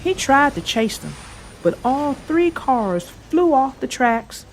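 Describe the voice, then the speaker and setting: Children's read-aloud narrator, woman, very lively intonation, unhurried, light music underneath. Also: sits high, slight background noise, poor audio. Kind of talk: expressive read-aloud storytelling.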